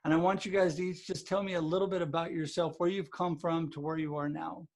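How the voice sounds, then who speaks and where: A man's voice: on the high side, flat delivery, fast talker, darkish tone. A man hosting a podcast, upbeat and promotional as he introduces his guests. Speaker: podcast host.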